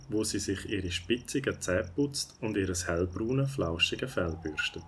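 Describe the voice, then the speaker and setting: An actor narrating a children's animal story as a calm storyteller, with a Swiss German accent. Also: male voice, low-pitched, slightly rough.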